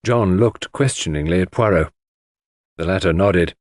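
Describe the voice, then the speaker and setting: A man narrating a scripted audiobook story in an expressive storytelling style. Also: deep voice, normal speed, no echo.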